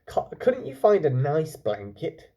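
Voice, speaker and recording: A man's voice: fairly high pitch, wildly swooping pitch, a little slow, mellow, voice pushed up, exaggerated voice. A man voicing a huge, fussy male elephant in a children's story, grumbling and complaining. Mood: grumpy, fussy.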